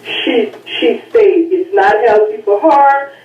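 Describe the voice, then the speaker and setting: A woman's voice: high voice, lively intonation, slow, roomy sound, recorded from a distance, muffled recording, slight background noise. A woman speaking hesitantly with many fillers on a talk show. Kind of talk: casual talk show chat.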